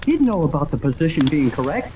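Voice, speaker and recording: Excitable boy sidekick, earnest, very lively pitch, vintage radio serial, clearly noisy recording. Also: fast. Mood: eager, insistent. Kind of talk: 1930s radio serial acting.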